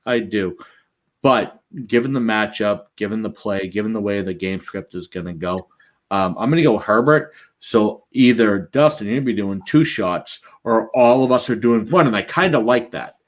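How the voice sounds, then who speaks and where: A man's voice: fairly low pitch, normal speed. Joking man with a Boston accent. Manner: joking, trash-talking, animated. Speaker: podcast co-host. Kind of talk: casual chat.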